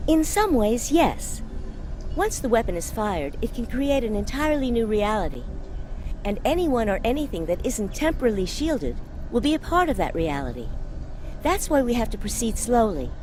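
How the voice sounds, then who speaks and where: An actress playing an earnest, idealistic female scientist in a science fiction game, explaining things clearly. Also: a little high, very animated, normal speed, a little mellow, faint music behind. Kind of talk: scripted game dialogue.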